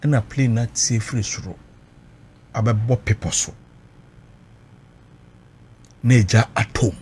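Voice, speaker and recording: A man's voice: mid-range voice, takes it slowly, a little mellow, slight background noise. A Ghanaian man recounting personal experience emotionally, mixing Twi and English, emphatic and dramatic, repeating phrases for weight.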